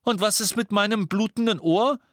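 A cheeky young man jokes in a very high, mocking voice. It sounds dry and close. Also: moderate pace, a bit bright, no echo. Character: joking young man, wounded twin.

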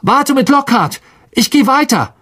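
A man's voice: very high-pitched, lively intonation, measured pace, fairly bright tone, husky, pitched above the performer's own voice, heavily acted. A man reading an audiobook voices a determined young boy wizard speaking urgently with a raised voice. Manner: urgent, trying to sound confident.

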